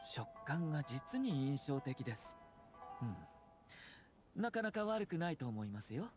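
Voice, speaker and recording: A man voicing a courteous, calculating young clan head in a Japanese anime game, smooth, formal and measured. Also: medium pitch, sing-song, darkish tone. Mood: playfully courteous. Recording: game music underneath.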